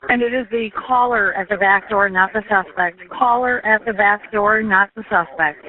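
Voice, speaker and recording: A man's voice: sits high, normal speed. A man passing on police information over a narrowband two-way radio.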